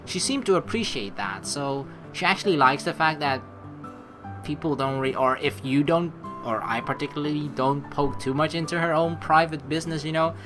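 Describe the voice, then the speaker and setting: Girl doing a lets play, deep-ish voice, super animated, chatty n rambly, game music behind her. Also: normal speed. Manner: chatty, rambling, reacts aloud. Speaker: let's play host. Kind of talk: casual game commentary and reading text aloud.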